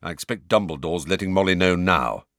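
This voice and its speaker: A man's voice: sits low, brisk, a bit bright, no echo. A man voicing a tense, impatient grown man in an audiobook, close to his own voice. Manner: clipped, impatient.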